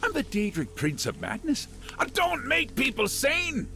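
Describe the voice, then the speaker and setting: An actor playing a gleefully deranged, menacing prince of madness in a video game, theatrical and mocking. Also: male voice, very high-pitched, huge pitch swings, unhurried, vocal fry, sharp tone, full character voice. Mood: manic, mocking. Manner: theatrical, wildly swinging, mocking.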